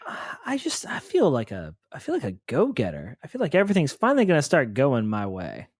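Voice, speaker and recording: A man playing a tabletop game, improvising casually in character with a hesitant, halting delivery. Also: medium pitch, huge pitch swings, slightly rough, no echo, clean studio sound. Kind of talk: casual improvised role-play.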